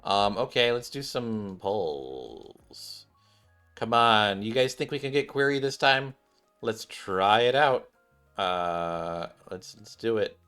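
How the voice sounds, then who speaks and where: Male gaming host, lowish bright voice, casual commentary, chatty, talks straight to viewers, game music behind. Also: animated, slow, smooth. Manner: chatty, addresses viewers as guys.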